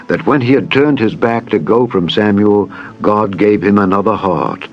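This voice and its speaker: A man narrating scripture in a solemn, formal, dramatized reading style. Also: lowish voice. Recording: dull radio-like sound, music behind.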